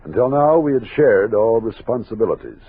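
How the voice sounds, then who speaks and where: An actor playing a steady frontier army captain who leads an expedition and narrates it in measured, commanding old-time radio style. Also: male voice, mid-range voice, husky. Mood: reflective narration. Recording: tinny noisy old radio recording.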